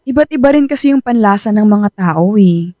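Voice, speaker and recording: A woman's voice: mid-range voice, animated, fairly quick, warm tone, dry recording, clean studio sound, close to an everyday voice. An actress playing an anxious, self-doubting young Filipina girlfriend in a radio drama, hesitant and devoted. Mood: worried. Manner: hesitant, worried.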